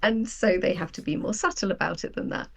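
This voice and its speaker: A woman guest on a podcast, a writer and game creator talking casually and telling things in an animated, quote-acting way. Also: medium pitch, fairly quick, creaky.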